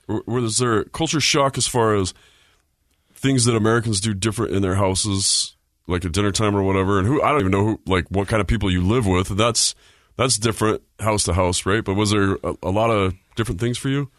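A man's voice: on the low side, fast talker, no room sound, clean studio sound. A male host in a casual interview, chatty and easygoing, laughing along and asking loose, rambling questions.

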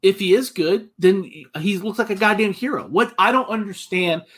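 A man riffing on a sports podcast with a high, husky voice. He gets worked up, swears freely and circles back over the same point again and again. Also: normal speed. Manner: excitable, profane, repeats himself. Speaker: podcast host. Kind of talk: casual sports chat.

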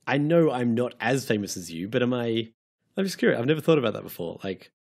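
A man's voice: medium pitch, very animated, fast talker, creaky, a little mellow. An Australian man co-hosting a podcast, talking casually with a dry, teasing manner. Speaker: podcast co-host.

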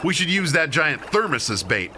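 A grumpy small-town fisherman acted by a man with a high voice that swings widely. He bickers sardonically in radio-comedy style, with clear boat and water noise behind him. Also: very animated, normal speed. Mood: sarcastic. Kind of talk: comic radio play acting.

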